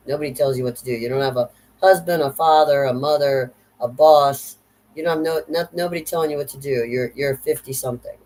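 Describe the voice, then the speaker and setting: A woman's voice: very deep voice, darkish tone, low-quality recording. Blunt, profane woman in her fifties ranting. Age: 50s. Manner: blunt, profane, repetitive, sardonic. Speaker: vlogger. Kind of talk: unscripted rant monologue.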